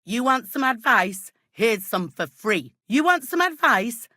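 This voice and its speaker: An actress playing a brusque, gossipy, world-weary medieval village innkeeper in a video game, talking in blunt, earthy, scolding phrases. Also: female voice, fairly high pitch, sing-song, measured pace, sharp tone, dry recording, clean studio sound, heavily acted. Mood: exasperated. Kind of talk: video game character dialogue.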